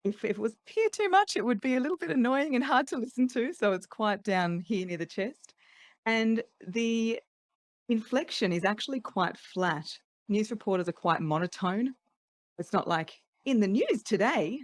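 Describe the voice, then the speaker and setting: A woman's voice: on the high side, expressive, moderate pace, no echo. A middle-aged woman with an Australian accent, a voice coach speaking articulately and laughing lightly between points in a podcast interview. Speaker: voice coach guest. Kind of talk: casual interview chat.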